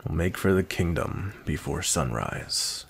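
A man playing a grumpy, cold, commanding vampire knight in a roleplay ASMR, husky and gravelly, speaking in a stern, measured way. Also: low-pitched, animated, slight background noise. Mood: gruff, softened.

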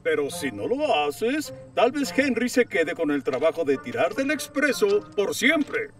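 A man's voice: high-pitched, big pitch swings, unhurried, distant mic, soft background music. An actor voicing a stern, portly male railway boss in a cartoon dub, speaking firmly. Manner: firm, authoritative.

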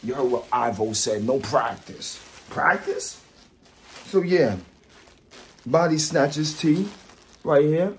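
A man's voice: on the high side, expressive, measured pace, long pauses, a little mellow, a little hiss or hum. A man, around his thirties, talking casually to viewers in a boastful, emphatic vlog style, often repeating his phrases. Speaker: vlogger, product reviewer.

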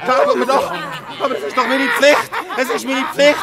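An actor playing a cowardly, blustering mayor in a Swiss German radio play, panicky and pleading. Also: male voice, extremely high pitch, steady tone, moderate pace, over a noisy crowd, heavy background noise. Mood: terrified pleading. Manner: panicky, pleading, swinging from commanding to whimpering.

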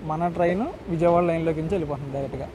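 Male travel vlogger, Andhra Telugu accent, high-pitched and chatty, casual delivery over clear train and outdoor noise. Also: fairly even intonation, racing. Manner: chatty, addresses viewers directly. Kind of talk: casual travel vlog commentary. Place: on board a moving train. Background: train rumble and outdoor ambience.

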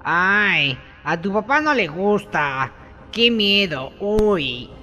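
A man's voice: high-pitched, sing-song, very slow, pushed and bright, faint music behind, full character voice. A man playing a sarcastic, scolding and mocking character in an educational radio play. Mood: mocking, goading. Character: scornful, mocking man, harsh scolder and tempter. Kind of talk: educational radio soap acting.

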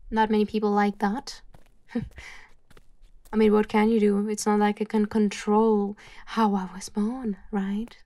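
Woman as slow, mellow, soft-spoken female boss. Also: mid-range voice, no echo. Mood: wry, resigned. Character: calm, worldly female mafia boss gently advising an employee. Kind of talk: ASMR roleplay monologue.

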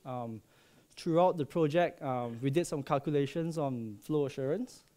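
Mellow-voiced man presenting at a seminar. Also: a little high, moderate pace. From Malaysia. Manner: friendly, joking, many ums and uhs. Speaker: subsea processing engineer. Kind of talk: conference presentation. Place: large lecture hall. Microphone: headset.

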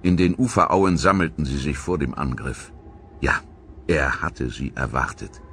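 A man giving dramatic scripted documentary narration with theatrical, deliberate storytelling. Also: very deep voice, animated, fairly bright tone, a little hiss or hum.

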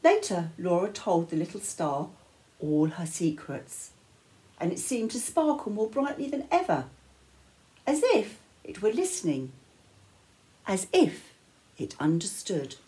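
Older female storyteller for bedtime picture book: unhurried, animated pitch, dramatic pauses. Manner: measured, dramatic phrasing with pauses. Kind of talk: expressive picture-book read-aloud. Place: small living room, indoors.

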